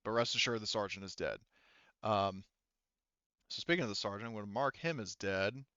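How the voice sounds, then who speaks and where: A man acting as game master for a tabletop game over voice chat, pausing often with ums as he works through the rules. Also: on the low side, sharp tone, smooth, dry recording, muffled recording. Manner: halting, many ums and restarts while narrating rules. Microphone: headset.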